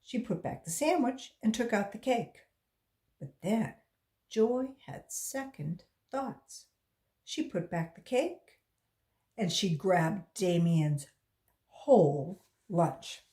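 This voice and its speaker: Woman, lively swooping pitch, unhurried pace, children's read-aloud, echoey room. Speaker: volunteer children's book reader.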